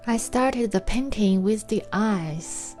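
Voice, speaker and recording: A woman from China with a Chinese accent, gently narrating a watercolor painting tutorial in unhurried explanations. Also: mid-range voice, soft background music. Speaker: watercolor art teacher and farm owner.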